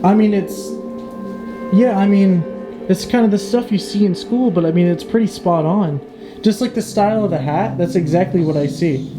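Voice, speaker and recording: A young man hosting a ghost-hunting trip, chatting excitedly and rambling as he swears and repeats himself. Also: sits high, moderate pace, over music, poor audio. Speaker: paranormal channel host. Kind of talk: casual excited chat.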